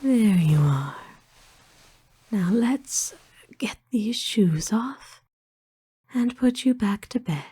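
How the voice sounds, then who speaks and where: A woman playing a kind, aristocratic vampire in a whispered ASMR roleplay, speaking gently and soothingly with formal, old-fashioned phrasing. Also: on the high side, expressive, drawn-out words, long pauses, full character voice. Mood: tender, soothing. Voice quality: breathy, hushed whisper. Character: kind, doting, aristocratic female vampire owner.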